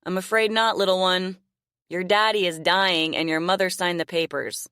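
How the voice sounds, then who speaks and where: A woman's voice: on the low side, steady tone, moderate pace, pushed and bright, clean tone, no room sound, clean studio sound. A woman voicing a cruel man who taunts his captive in a smug, mocking way.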